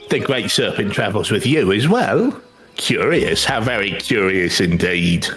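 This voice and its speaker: A man's voice: lowish voice, a bit bright. A man voicing the ceremonious father of a small mousy tribe in a video game, speaking in a formal, grandiloquent way. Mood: curious, ceremonious. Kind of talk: video game story dialogue read aloud.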